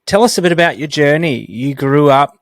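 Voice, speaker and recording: A man's voice: on the high side, lively intonation, fairly quick, dry recording, clean studio sound. A man hosting a podcast interview, enthusiastic and full of restarts, speaking into a headset mic. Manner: enthusiastic, many restarts and fillers. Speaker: podcast host.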